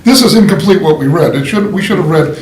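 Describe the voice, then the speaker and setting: A man's voice: high voice, lively intonation, fast talker, a crowd in the background. A man giving a blunt, opinionated critique in a casual writing group discussion. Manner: blunt and opinionated, laughing at his own remarks. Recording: roomy room with faint noise.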